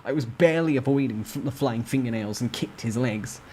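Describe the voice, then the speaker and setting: A man's voice: mid-range voice, a little fast, mellow, a little hiss or hum, poor audio. A man giving a dramatic first-person storytime reading of a novel. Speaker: narrator reading a novel aloud.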